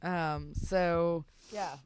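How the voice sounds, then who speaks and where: A woman in her twenties with a deep voice, co-hosting a casual podcast chat and telling a story with lots of pauses and ums. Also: fairly even intonation, slight background noise. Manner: rambling storyteller with many ums. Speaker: podcast co-host.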